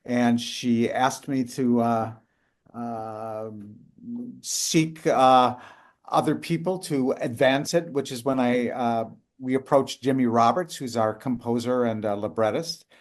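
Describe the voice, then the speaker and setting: An older man answering interview questions about co-writing a musical, speaking haltingly with many fillers and restarts. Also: medium pitch, steady tone. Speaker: guest, co-writer of a musical.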